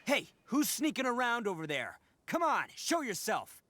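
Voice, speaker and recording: A man's voice: very high-pitched, sing-song, moderate pace, sharp tone, a little hiss or hum, full character voice. Young male oni fugitive for a game: boastful, dramatic, hugely swooping delivery. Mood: suspicious. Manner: blustering, stammering, exaggerated. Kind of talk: video game voice acting. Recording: faint game music behind.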